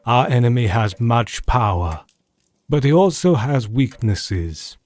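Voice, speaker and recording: An actor playing a grave, authoritative professor who hunts vampires, speaking formally and deliberately in an audio drama. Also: male voice, medium pitch, animated, darkish tone. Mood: grave, rallying.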